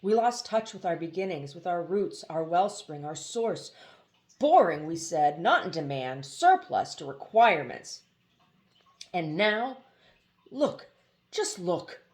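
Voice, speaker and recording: A woman's voice: on the low side, sing-song, takes it slowly, a little mellow. A woman voicing a disconsolate male water genie in a storybook, plaintive and full of guilt. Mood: weeping grief. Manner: mournful, plaintive.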